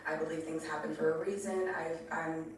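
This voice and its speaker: Woman, late twenties, slightly low voice, casual contestant answers, very echoey. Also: fairly even intonation, fairly quick. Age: late 20s to 30. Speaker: dating show contestant. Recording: echoey, distant, faint noise.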